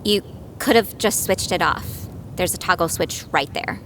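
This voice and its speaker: A woman playing an excitable, squeamish novice fighter in an audio drama, high-strung and rambling. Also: normal speed, noisy background, lightly acted. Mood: puzzled.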